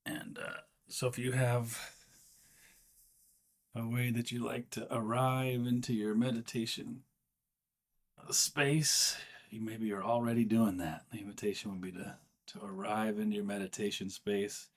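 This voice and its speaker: A man with a fairly low voice guides a meditation. His delivery is gentle and unhurried. He often pauses partway through a sentence before carrying on. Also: level tone.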